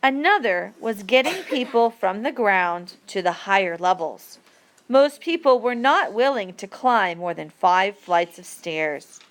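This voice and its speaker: Woman, teacher read-aloud, very unhurried pace, mid-range pitch, deliberate measured phrasing. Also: animated. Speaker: teacher reading a lesson passage aloud. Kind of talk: read-aloud educational narration.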